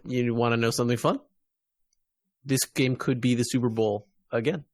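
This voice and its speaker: A man's voice: medium pitch, fairly even intonation, moderate pace, no echo, clean studio sound. A man co-hosting a podcast, chatting casually about sports. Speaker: podcast co-host.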